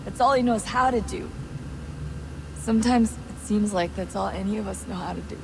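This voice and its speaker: A guarded, thoughtful woman in a video game cutscene, played by an actress. Her mid-pitched voice is measured and earnest, pausing as she goes, over clearly noisy sound. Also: close to an everyday voice. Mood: sombre, bitter.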